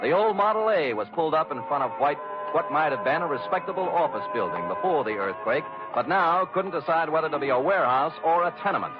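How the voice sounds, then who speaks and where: Man acting hard-boiled radio detective, sardonic wisecracks, animated pitch swings, music behind, muffled vintage sound. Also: fast talker, hardly any pauses, natural voice. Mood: wry narration. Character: wry, hard-boiled male private detective. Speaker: narrator and lead actor. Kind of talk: 1940s radio noir acting, first-person narration.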